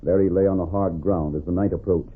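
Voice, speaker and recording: A man's voice: low-pitched, fairly even intonation, fairly quick, slightly rough. A man giving grave, suspenseful scripted narration in the formal style of a 1940s radio drama. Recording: narrow, tinny 1940s radio.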